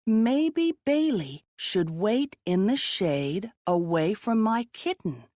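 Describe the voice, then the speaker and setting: A woman's voice: mid-range voice, expressive, takes it slowly, mellow, no echo, studio quality. A woman reading the lines of an eager, earnest six-year-old girl in a children's storybook. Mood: worried. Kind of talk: storybook character dialogue.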